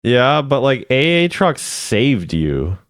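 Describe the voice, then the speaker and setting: A man's voice: medium pitch, very animated, slow, clean tone, dry recording, studio quality. A man hosting a gaming video, giving laid-back, off-the-cuff commentary as he thinks aloud. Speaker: video host ranking game voice lines.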